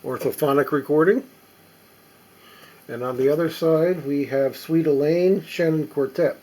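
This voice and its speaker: A middle-aged or older man giving casual, unscripted show-and-tell commentary as a collector, halting and musing aloud as he inspects things. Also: on the high side, dark tone, rough recording. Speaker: record collector presenting finds.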